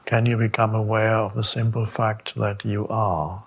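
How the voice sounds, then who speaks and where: Man, lowish husky voice, spiritual teacher, meditation talk, contemplative, halting with long pauses. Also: level tone, muffled recording.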